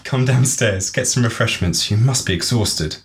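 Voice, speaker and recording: A man's voice: lowish voice, normal speed, voice pushed up, natural voice. A man voicing a shrewd, flirtatious and hospitable woman boss in a story, with a familiar, coaxing manner.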